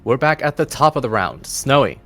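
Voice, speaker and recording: A man's voice: mid-range voice, very animated, brisk, fairly bright tone. A man working as game master, narrating a tabletop game in a dramatic storytelling style. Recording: faint music behind the voice. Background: faint music.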